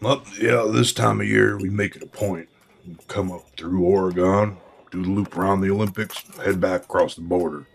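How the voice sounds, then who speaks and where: A man's voice: deep voice, steady tone, normal speed, vocal fry, husky, exaggerated voice. An actor playing a genial man in his seventies in an audio drama, chatting in a folksy, rambling way. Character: portly, genial grandfatherly traveler in his seventies. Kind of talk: audio drama dialogue as a casual interview.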